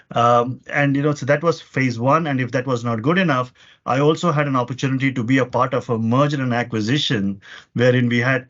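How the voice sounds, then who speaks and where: A man in his forties, a podcast guest, talking earnestly about his career in long runs full of fillers. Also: medium pitch. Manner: earnest, talks in long lists with many fillers. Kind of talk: conversational interview answers. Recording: muffled lo-fi audio.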